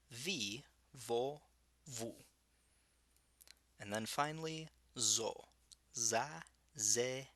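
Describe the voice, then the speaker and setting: Slow, careful male language teacher. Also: mid-range voice, pushed and bright, no room sound. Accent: English with some Spanish influence. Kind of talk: language lesson, sounding out syllables.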